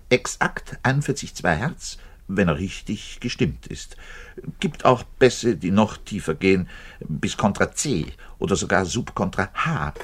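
A self-important orchestral bass player delivering a radio-play monologue. His voice is low and rough-edged, with very animated intonation. He lectures pedantically and rambles emphatically, betraying frustration as he addresses his listener directly. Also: exaggerated voice. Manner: pedantic, rambling, emphatic, shifting to muttered asides.

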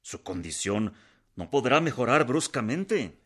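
An actor playing a stern president, delivering a firm, measured, formal political address in a radio drama. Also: male voice, medium pitch, very animated, heavy background noise.

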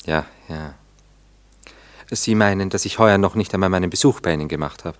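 An actor playing an idle, gallant gentleman flirt in a German radio play, teasing and languidly ironic. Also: low voice, fairly quick, natural voice. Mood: teasing, flirtatious.

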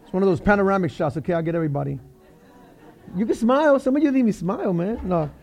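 A man's voice: high-pitched, expressive, fast talker, a little mellow. A middle-aged man from the Bronx with a New York accent, preaching casually as a guest pastor, joking and restarting often. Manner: chatty, joking, many fillers and restarts. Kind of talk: casual sermon.

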